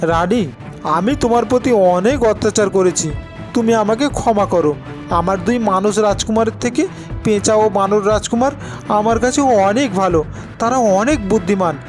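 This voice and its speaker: A man's voice: high voice, moderate pace, music in the background, lightly acted. A man voicing an authoritative, stern king in a storybook folktale. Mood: remorseful.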